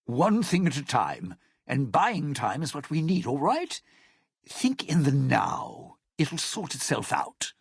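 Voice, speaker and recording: An actor playing a cynical, self-serving lounge singer in a video game, with a raspy, gravelly voice and a wry, theatrical, menacing delivery. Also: male voice, on the high side, sing-song, slow pace, no echo, studio quality, full character voice. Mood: agitated, scheming. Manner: wry, theatrical, hesitant muttering turning to threats. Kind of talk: video game character dialogue.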